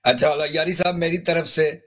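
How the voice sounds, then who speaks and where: A Punjabi-speaking man phones a religious show. His voice runs a little high. He is effusively respectful and slips English words into his speech. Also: fairly even intonation, moderate pace, a bit bright.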